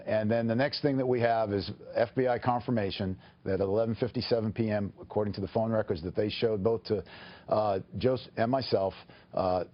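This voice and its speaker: Lowish-voiced male attorney, halting and measured. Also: muffled recording. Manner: halting, measured, formal explanations. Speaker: attorney, interview guest. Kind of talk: live TV news interview.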